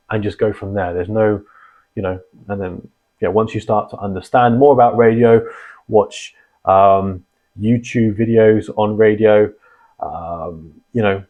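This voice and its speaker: A man hosting a casual explainer on radio gear, rambling and filling pauses with ums and restarts. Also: lowish voice. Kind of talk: casual explanatory vlog talk. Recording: dull lo-fi sound.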